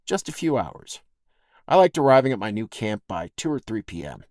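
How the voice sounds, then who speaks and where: A man's voice: on the low side, big pitch swings, normal speed, darkish tone, no echo, clean studio sound. A man reading his own scripted personal essay as a podcast host, in a reflective, folksy storytelling way.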